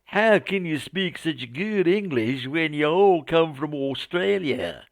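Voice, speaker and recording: A man's voice: on the high side, lively intonation, slow pace, no echo, clean studio sound, voice pushed up. A man voicing a zealous, naive Southern American missionary in a told story, with a folksy, preachy drawl. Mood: earnest zeal.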